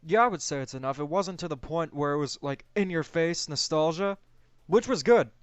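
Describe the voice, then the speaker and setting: A man's voice: a little high, expressive, a little fast, clear, resonant tone, no echo, highs cut off. A man co-hosting a film discussion in a casual, conversational style.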